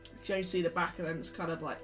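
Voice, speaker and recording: Female game master, lower register, descriptive and stop-start narration over music. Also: fairly even intonation, fairly bright tone. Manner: descriptive, halting and self-correcting. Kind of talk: narrating a tabletop game, improvised. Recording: music playing behind the voice.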